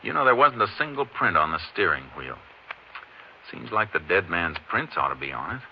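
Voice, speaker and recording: A man playing a steady, observant Texas Ranger in a 1950s radio western, speaking in a clipped, matter-of-fact way. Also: deep voice, normal speed. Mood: businesslike. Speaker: lead actor in a radio western. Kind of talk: 1950s radio drama acting and first-person narration. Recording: noisy, muffled vintage radio recording.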